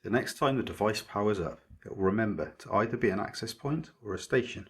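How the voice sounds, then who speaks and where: A man narrating a scripted electronics project tutorial as its maker, explaining methodically in a measured way. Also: lowish voice, breathy edge.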